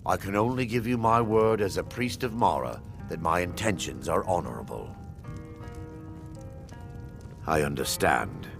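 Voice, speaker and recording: A man acting a grave, soothing priest in a fantasy video game, speaking in a measured, formal and earnest way. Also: deep voice, vocal fry, music in the background. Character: grave, soothing male elven priest keeping secrets.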